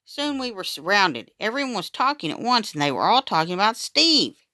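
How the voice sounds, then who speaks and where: A woman reading a children's story aloud in an animated, expressive way, acting out the dialogue as she goes. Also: medium pitch, normal speed, no room sound, clean studio sound.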